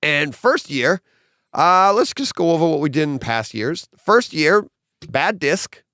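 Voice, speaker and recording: A middle-aged man hosting a casual home theater podcast with his son. He tells stories animatedly and acts out quotes, and his voice is slightly hoarse. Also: a little high, sing-song, a little slow, pushed and bright, dry recording, studio quality. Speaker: podcast host.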